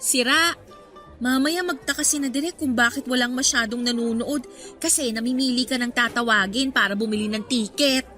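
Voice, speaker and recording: Bright, high girlish college student. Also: moderate pace, faint music behind. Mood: teasing. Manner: girlish, casual, expressive. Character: young female college student, good-natured, teasing. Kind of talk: Filipino radio drama acting.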